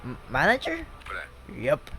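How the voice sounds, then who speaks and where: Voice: medium pitch, slow pace, heavily acted. Someone reading as a weary, sardonic male worker. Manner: flat, stumbling read-aloud of game dialogue. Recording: noisy background hiss.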